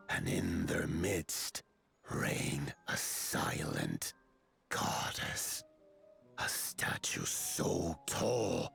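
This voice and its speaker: An actor playing a solemn giant elder of a mountain people in a fantasy game, speaking in stately, formal, archaic phrases. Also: male voice, a bit bright, heavily acted. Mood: reverent. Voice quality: deep, gravelly, breathy at phrase ends. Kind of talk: scripted fantasy game dialogue.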